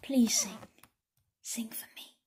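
A woman's voice: slow pace, mellow, in a whisper, close to an everyday voice. A woman voicing a smitten young male pop heartthrob in a children's story, melodramatic and pleading. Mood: tender pleading.

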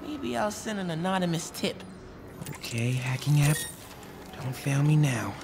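An American actor voicing an eager, awkward, excitable teenage boy in a video game, sometimes halting. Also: on the high side. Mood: wry muttering. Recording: noisy city ambience behind voice.

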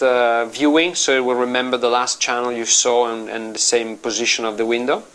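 A middle-aged man with an Italian accent, a native Italian speaker, walking through how to use a software application in an office, explaining in a relaxed way with frequent fillers and restarts. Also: mid-range voice. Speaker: company representative demonstrating software. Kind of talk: product walkthrough.

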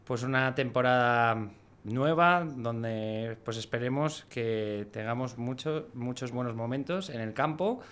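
A young man with a smooth, clear voice introduces his podcast in a relaxed, halting manner, leaning on fillers as he goes. He talks into a boom-arm studio mic. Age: young adult. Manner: hesitant, many fillers, friendly. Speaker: podcast host. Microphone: studio mic on a boom arm, with headphones.

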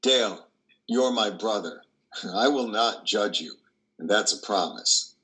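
Older man acting an earnest, slow-spoken priest. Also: fairly low pitch, animated, bright, forceful tone, low-quality recording. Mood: solemn. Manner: earnest, pleading. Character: compassionate, anguished male priest. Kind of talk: stage play reading. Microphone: video call.

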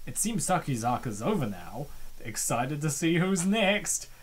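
A comic dub host giving casual, rambling commentary on a webcomic, with playful and excitable reactions. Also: mid-range voice, unhurried, slight background noise.

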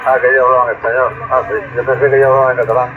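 A man's voice: mid-range voice, little pitch movement, rapid-fire, background noise. Man chatting on radio, dull lo-fi sound. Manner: repeatedly adds "eh" as a tag. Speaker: radio hobbyist operator. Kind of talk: casual chat over CB radio.

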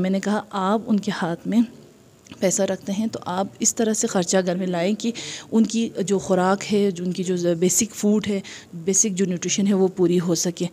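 A Pakistani woman with a warm, dark-toned voice speaks as a government department representative at an indoor event. She explains things informally into a handheld microphone, pausing to search for words and slipping in English terms. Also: mid-range voice. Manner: hesitant, with frequent filled pauses and English terms mixed in. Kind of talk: informal public-awareness interview statement to camera. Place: indoor event hall, in front of a banner. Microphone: handheld reporter microphone.

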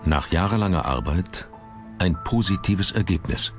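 A man narrating a scripted documentary in a measured, dramatic storytelling manner. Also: sits low, lively intonation, over music.

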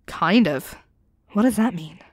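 A dry, close recording of a woman playing a cocky young female thief. She is an expressive commoner with a sardonic edge, given to sighs, speaking at a middling pitch in an intimate audio roleplay addressed to one listener. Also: a little slow, no room sound. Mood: teasing, sardonic.

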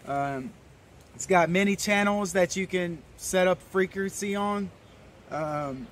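A young adult man giving a casual product demonstration to camera as a reviewer, speaking outdoors. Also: on the high side. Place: outdoors in a wooded yard. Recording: outdoor camera audio with background noise.

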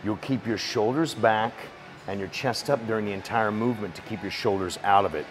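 A man in his forties giving calm, steady step-by-step workout instructions as a voice-over. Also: lowish voice, a little fast. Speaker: fitness instructor. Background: music.

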